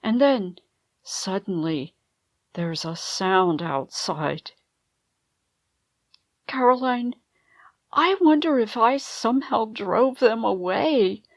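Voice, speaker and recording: A woman playing an anxious, wistful middle-aged mother in a radio play, speaking hesitantly with brooding pauses. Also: a little high, expressive, no echo, clean studio sound, full character voice. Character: anxious, wistful mother isolated in a threatened world.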